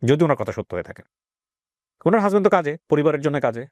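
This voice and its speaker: A man's voice: fairly high pitch, very animated, racing, a little mellow, dry recording, clean studio sound. A man from Bangladesh hosting a radio show, questioning a guest about her life story in a blunt, pointed way. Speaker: radio host. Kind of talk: probing interview about a caller's life story.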